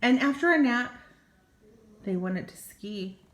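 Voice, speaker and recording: A woman's voice: fairly high pitch, expressive, takes it slowly, muffled recording. An older woman, a grandmother, reading a children's story expressively with small asides, in an echoey room. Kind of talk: children's storybook read-aloud.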